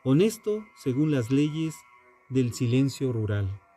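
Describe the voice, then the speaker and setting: Male narrator for true crime: mellow, dark-toned, unhurried and grave, sententious scripted delivery over music. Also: mid-range voice, very animated. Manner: solemn, deliberate, sententious. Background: background music.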